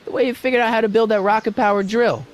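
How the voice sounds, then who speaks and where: A man playing an earnest, quick-witted teenage boy sidekick in a video game, with a casual, coaxing way of talking. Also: high-pitched, fairly even intonation, lo-fi sound, lightly acted. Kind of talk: animated video game character acting.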